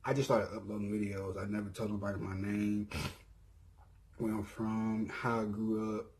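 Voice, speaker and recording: A young man from Mississippi speaks with a relaxed Southern accent, in a low-pitched voice. He talks loosely to his viewers and drifts between thoughts. He fills pauses with ums and often corrects himself. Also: slight background noise, rough recording. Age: 20s. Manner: laid-back, rambling, frequent ums and self-corrections. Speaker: YouTuber. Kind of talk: casual self-introduction vlog.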